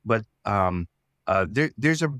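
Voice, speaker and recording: A man speaking as a writer in a casual interview, explaining his ideas in a thoughtful, halting way. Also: fairly low pitch, expressive, a bit bright, dry recording. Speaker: writer and storyteller.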